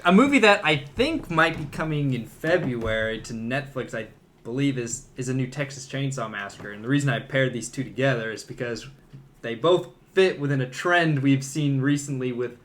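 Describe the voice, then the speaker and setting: A man talking casually as a podcast host in a relaxed back-and-forth movie discussion. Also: mid-range voice, moderate pace.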